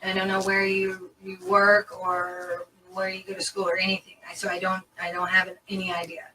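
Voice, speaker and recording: A woman police interviewer asking calm, methodical, procedural questions. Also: medium pitch, normal speed, over music. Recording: distant mic with faint noise.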